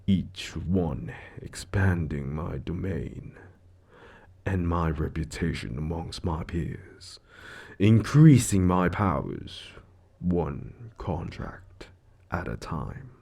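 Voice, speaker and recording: A man's voice: deep voice, animated, takes it slowly, gravelly, dark tone, husky, exaggerated voice. A man voicing a suave, gloating devil lord in an audio roleplay, theatrical and condescending, savoring his words as he toys with a mortal. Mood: smug, boastful. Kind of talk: scripted audio roleplay monologue.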